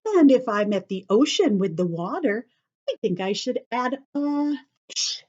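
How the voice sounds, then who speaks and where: A woman teacher talks young children through a calm-down drawing activity, slow and unhurried, her pitch swinging up and down as she encourages them. The sound is dull and lo-fi, like a home video recording. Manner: gentle, encouraging, talks directly to kids.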